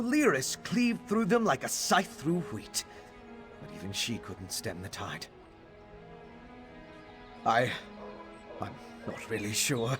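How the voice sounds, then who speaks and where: A man's voice: mid-range voice, fairly bright tone. An actor playing a wry, self-mocking male vampire scholar in a video game, with background music. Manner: wry, self-deprecating, musing.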